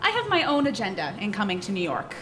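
A woman's voice: medium pitch, wildly swooping pitch, a bit bright, slight background noise. An actress playing a nervous, determined middle-aged mother from Iowa in a live radio play. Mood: stern. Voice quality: halting, nervous laughter. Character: flustered Midwest mother, embarrassed. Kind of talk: live stage radio drama.

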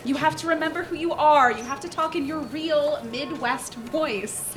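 A woman's voice: sits high, a bit bright. An actress playing a nervous, determined middle-aged mother from Iowa in a live radio play. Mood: stern. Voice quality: halting, nervous laughter. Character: flustered Midwest mother, embarrassed. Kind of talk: live stage radio drama.

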